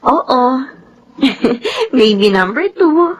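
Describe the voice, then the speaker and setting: Very high-pitched emotional young wife. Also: female voice, sing-song, very slow, husky, heavily acted, poor audio. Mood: happy, excited. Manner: highly emotional, tearful delivery. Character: pregnant young wife and mother, loving, emotional, desperate. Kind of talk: Filipino radio soap opera acting. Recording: dramatic music under the voice.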